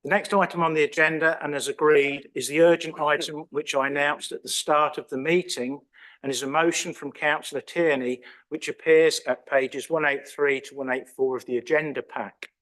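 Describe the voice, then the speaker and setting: Male chairman, slightly higher voice, measured formal procedural reading. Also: fairly even intonation, a bit bright, poor audio. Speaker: chairman of a council meeting. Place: council chamber.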